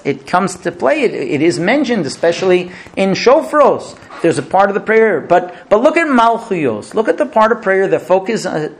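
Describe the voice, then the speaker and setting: Animated rabbi giving a sermon. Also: male voice, high-pitched. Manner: storytelling, rhetorical questions, repeats words. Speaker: rabbi teacher.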